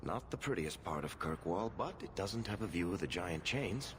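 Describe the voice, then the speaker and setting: An actor playing a gruff, unimpressed man in a video game, speaking in a dry, blunt way. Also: low-pitched, expressive, moderate pace. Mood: sardonic. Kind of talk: video game cutscene acting. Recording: noisy ambience behind voice.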